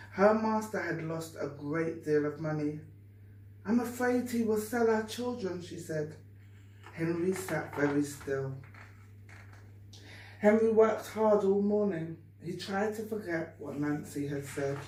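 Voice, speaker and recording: A woman reading a story aloud to children with deliberate, expressive phrasing. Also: low-pitched. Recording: echoey room, distant mic, faint noise.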